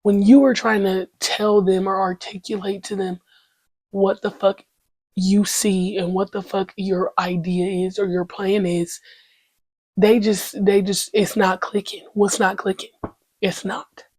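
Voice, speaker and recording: A woman's voice: medium pitch, flat delivery, a little slow, a little mellow, dry recording. A woman hosting her own podcast in a loose, slangy monologue, swearing freely and breaking into praise. She is congested and her voice is still coming back. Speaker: podcast host. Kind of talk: casual faith-filled podcast monologue.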